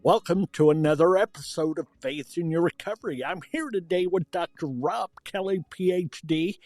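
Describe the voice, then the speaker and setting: A man's voice: a little high, normal speed, darkish tone, faint music behind. A man hosting a podcast, giving introductions and asking interview questions. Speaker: podcast host.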